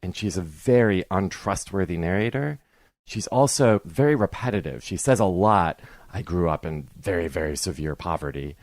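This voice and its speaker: A man's voice: deep voice, lively intonation, normal speed, a little mellow, dry recording, clean studio sound. A man speaking as a podcast guest, giving emphatic, opinionated book criticism in a conversational way.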